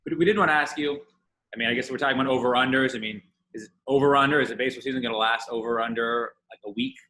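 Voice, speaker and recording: A man co-hosting a casual sports interview, halting and repeating words as he frames his questions. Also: mid-range voice, pushed and bright, low-quality recording. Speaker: podcast co-host, interviewer.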